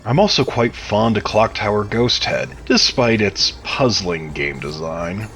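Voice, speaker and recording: A man's voice: lowish voice, expressive, measured pace, fairly bright tone, soft background music. A man giving prepared answers as a podcast interview guest, sounding like he reads them out. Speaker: interview guest, game mod developer.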